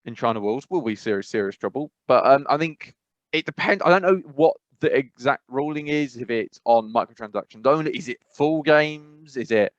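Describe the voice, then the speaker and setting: Male podcast co-host, mid-pitched, chatty and rambling, dry dull recording. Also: animated, normal speed, no room sound. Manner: rambling, opinionated, many restarts. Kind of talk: casual podcast chat.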